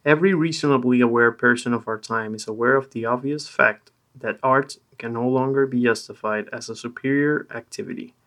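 A man's voice: medium pitch, brisk, hardly any pauses, a bit bright. A man hosting a podcast, speaking as reader and discussion moderator. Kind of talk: scripted reading, then casual discussion.

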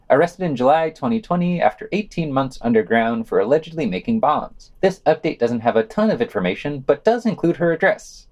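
Man reading podcast news, very lively intonation. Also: a little high. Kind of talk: scripted news reading with short asides.

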